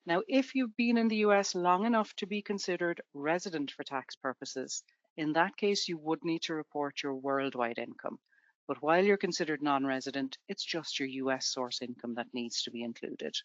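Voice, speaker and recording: A woman's voice: sits low, normal speed, no echo, lo-fi sound. A woman from Ireland with an Irish accent, presenting a tax webinar to students in a conversational way with everyday examples.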